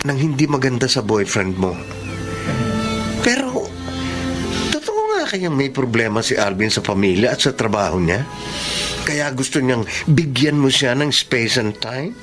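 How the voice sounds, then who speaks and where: An actor playing a protective, caring young close friend in a Filipino radio drama, earnest and concerned. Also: male voice, mid-range voice, very animated, unhurried, long pauses, over music.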